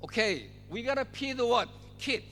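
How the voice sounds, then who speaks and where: A man's voice: extremely high pitch, lively intonation, normal speed, sharp tone, soft background music. Older Korean-accented man, echoing hall. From South Korea. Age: 70s. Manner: short emphatic phrases, repeats key words. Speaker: guest speaker. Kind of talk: public speech at a forum.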